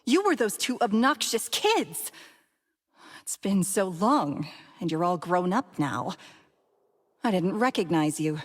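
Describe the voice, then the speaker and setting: A woman's voice: mid-range voice, sing-song, takes it slowly, pauses often. A woman playing a bitter, world-weary former thief in a video game, wry and confrontational. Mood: taken aback, scornful. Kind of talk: dramatic scripted video game dialogue.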